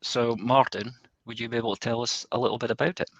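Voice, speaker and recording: A man's voice: mid-range voice, fairly even intonation, fast, gravelly, fairly bright tone, no room sound, highs cut off. A man hosting a webinar in a semi-formal, conversational way, introducing guests and putting questions to them. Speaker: webinar host.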